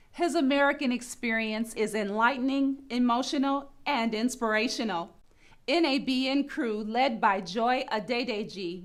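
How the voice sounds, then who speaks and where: A woman reads scripted narration for a broadcast feature. Her voice sits a little high, and she speaks in a deliberate, upbeat way.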